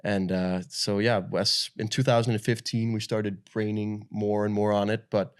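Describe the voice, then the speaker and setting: A young man from Denmark, a guest in an interview, telling his story in a relaxed, loose way with lots of fillers. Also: on the low side, normal speed, clean tone. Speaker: guest, pro paddle surfer. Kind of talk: casual interview talk.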